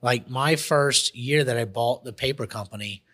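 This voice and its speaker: A man's voice: mid-range voice, a bit bright. A man with a Southern US accent, a guest talking business in a casual interview, full of restarts and fillers. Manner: halting, many restarts and fillers.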